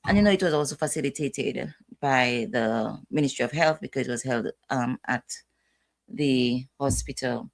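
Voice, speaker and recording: A woman's voice: very deep voice, moderate pace, gravelly. A middle-aged woman hosting a casual family chat, talking in a rambling, chatty way with asides. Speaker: host, mother.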